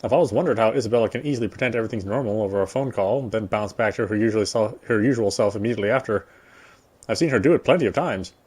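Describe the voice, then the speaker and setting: A man's voice: on the low side, racing, darkish tone, husky. Man narrating a story game aloud. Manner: narrating, stumbles and rereads phrases. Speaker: gamer reading story text in a playthrough. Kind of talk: reading visual novel narration aloud.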